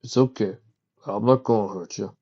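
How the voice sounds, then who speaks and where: A man voicing a kindly male pony traveler, tender, soft-spoken and playful, for a story. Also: on the low side, moderate pace, gravelly, breathy edge, lo-fi sound.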